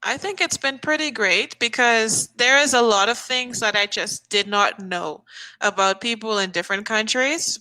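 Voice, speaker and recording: Bright-voiced woman tutor on a video call. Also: medium pitch, low-quality recording. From the Bahamas. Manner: friendly, chatty, laughs often. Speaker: online English tutor. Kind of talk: casual video-call chat.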